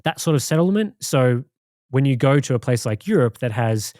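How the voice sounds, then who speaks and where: An Australian man co-hosting a podcast, recounting things in a rambling, relaxed way with many fillers. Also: mid-range voice, normal speed, no room sound, clean studio sound. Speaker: podcast co-host. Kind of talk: casual chat.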